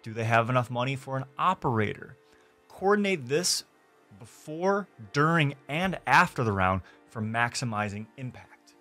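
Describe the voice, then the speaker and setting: Young man, mid-pitched, gaming creator reading a scripted tutorial. Deliberate, emphatic delivery on a close studio mic over background music. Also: lively intonation, sharp tone. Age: young adult. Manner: deliberate, emphatic, listing points. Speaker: gaming YouTuber and streamer. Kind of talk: scripted instructional guide. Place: small home streaming room, indoors. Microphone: close studio mic on desk.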